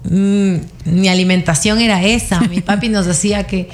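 A woman's voice: medium pitch, normal speed. A woman with a southern coastal Ecuadorian accent, a guest chatting casually and expressively in an interview. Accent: southern coastal Ecuadorian Spanish. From Ecuador, El Oro province. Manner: chatty, expressive, frequent laughs and fillers.

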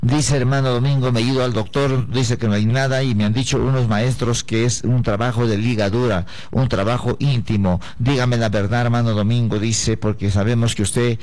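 A man's voice: medium pitch, fairly even intonation, moderate pace, few pauses, a little mellow. A man giving live psychic consultations to listeners, speaking in an emphatic, repetitive, preacher-like way. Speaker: radio psychic answering letters.

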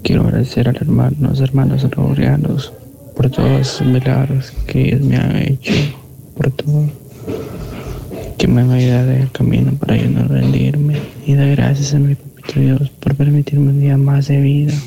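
A man's voice: medium pitch, monotone, moderate pace, gravelly, warm tone. A man from Ecuador, calling in to give an earnest, grateful testimony that slips at times into whispers. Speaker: caller giving a testimony. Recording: dull phone line with background noise.

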